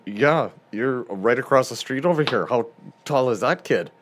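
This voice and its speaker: A man's voice: medium pitch, moderate pace, slight background noise. A man from Montana with a northern US accent, co-hosting a podcast in casual, joking chat. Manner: animated, joking, swears casually. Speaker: podcast co-host.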